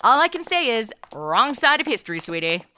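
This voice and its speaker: A woman's voice: a little high, very animated, a little fast, sharp tone, slight background noise. A woman playing a wisecracking, unimpressed superheroine in a comedic audio drama, with an American accent and a breezy, sarcastic manner.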